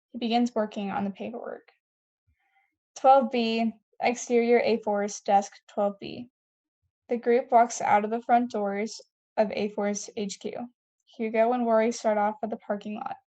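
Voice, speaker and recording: A woman's voice: fairly high pitch, dark tone, rough recording. A woman reading scene headings and stage directions as the narrator of a scripted table read.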